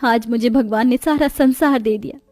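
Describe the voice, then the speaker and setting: Gentle, long-suffering elder sister in a moral cartoon, voiced by a woman, high, earnest, deferential. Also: moderate pace, no room sound, clean studio sound, soft background music, natural voice. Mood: tearfully grateful. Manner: soft-hearted, earnest, respectful. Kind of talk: cartoon moral story voice acting.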